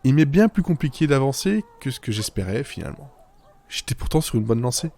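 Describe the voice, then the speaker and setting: A man's voice: medium pitch, sing-song, a little fast, mellow. A man delivering a scripted first-person monologue as a writer-narrator, whimsical and self-mocking, with playful asides. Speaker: writer narrating own fiction podcast. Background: music.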